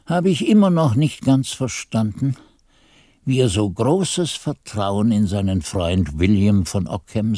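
An aged monk telling his chronicle in a radio drama. The voice is soft-edged and mellow, at a middling male pitch. He speaks formally and reflectively, with solemn, measured phrasing. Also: no echo, clean studio sound. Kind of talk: literary radio play narration.

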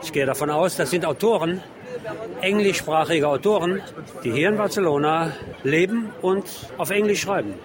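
A busy outdoor crowd surrounds this man's fairly high voice. He reports for radio on the spot, describing what is in front of him without a script and reading titles aloud as he goes. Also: normal speed. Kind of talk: live spoken field report describing book stalls. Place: outdoors on a busy city street among book stalls. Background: street crowd.

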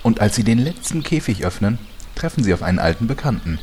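A man's voice: lowish voice, sing-song, fast talker, darkish tone, a little hiss or hum. A man as the narrator, reading scripted storytelling for a parody fantasy audio drama. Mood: measured storytelling. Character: storytelling male narrator of heroes' adventures.

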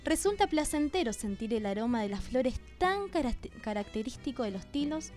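A woman's voice: a little high, big pitch swings, normal speed, a little mellow, faint music behind. A woman reading scripted narration for a radio drama.

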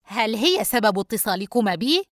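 A woman voicing an irritable, cold young man, terse and gruff, in a dramatised audio story. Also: lowish voice, fairly quick, pushed and bright, no room sound, clean studio sound. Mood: irritated.

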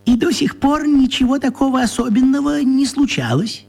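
An actor playing a tiny, apologetic old wizard gentleman in a children's radio play, husky and fussy, courteous and repeating himself. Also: very high voice, normal speed, exaggerated voice. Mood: sheepish.

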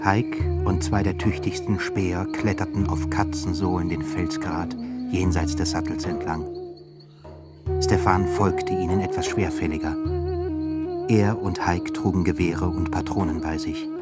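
A man narrating a radio drama in a measured, grave way. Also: sits low, wildly swooping pitch. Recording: music bed under voice. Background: music.

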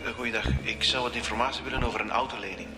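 Very fast-talking male bank customer played by a man. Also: mid-range voice, steady tone, background noise, natural voice. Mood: polite. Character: polite male bank customer, around forty. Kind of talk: radio drama acting. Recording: music behind the voice.

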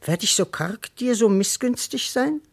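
Wheedling, boastful servant, wildly swooping delivery. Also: male voice, very high-pitched, lively intonation, a little slow, gravelly, heavily acted. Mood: wheedling, cajoling. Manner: theatrical, declamatory, swooping delivery. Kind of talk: classical verse comedy radio play.

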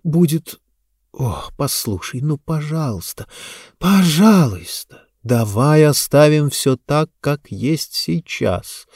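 A man's voice: on the high side, lively intonation, slow pace, darkish tone, breathy edge, no room sound, heavily acted. A sheltered, dreamy young woman in an audiobook romance, voiced by a male narrator. She is earnest and coaxing, her phrases swinging up and down with feeling, recorded close and dry. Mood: pleading.

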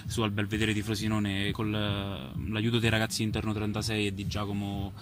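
A man gives spontaneous, explanatory interview answers, pausing and hesitating as he goes. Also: lowish voice, completely flat delivery. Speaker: photographer and exhibition curator being interviewed. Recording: clear ambient noise behind the voice.

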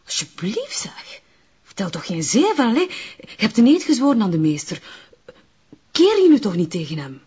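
An actress with a Flemish accent playing a devoted, gullible sect follower in a radio drama, exalted and easily indignant. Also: female voice, fairly high pitch, wildly swooping pitch, normal speed, long pauses, a little mellow, slightly rough, muffled recording, exaggerated voice. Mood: shocked, reproachful. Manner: exalted, indignant, hesitating over words.

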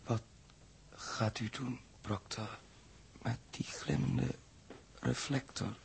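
Badly hurt, resigned gentle man: slow, very creaky, halting, old radio drama. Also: mid-range voice, fairly even intonation. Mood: faint, wondering. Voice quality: weak, breathy, croaky. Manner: halting, repeats words. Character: gravely injured young man, weak, resigned, gentle. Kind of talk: 1960s Dutch radio drama acting. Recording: faint noise, old radio recording.

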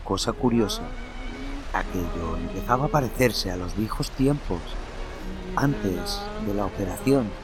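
Give me a male narrator reading a dark tale slowly and dramatically, with music underneath. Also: fairly low pitch, animated. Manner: measured, dramatic, lingering pauses. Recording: narration over background music.

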